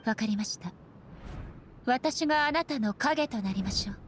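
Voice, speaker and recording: Actress playing a cold robotic lady shogun in an anime game, fairly high voice, super formal, music behind her. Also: lively intonation, normal speed, close to an everyday voice. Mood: solemn, resolute. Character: stern, unfeeling female puppet shogun, relentless warrior. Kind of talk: Japanese anime game acting.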